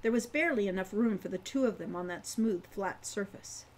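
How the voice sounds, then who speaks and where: Woman in her sixties, slightly husky, medium pitch, story narration, measured careful reading. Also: a little mellow. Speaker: author reading her novel. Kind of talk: audiobook-style story narration. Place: room at home.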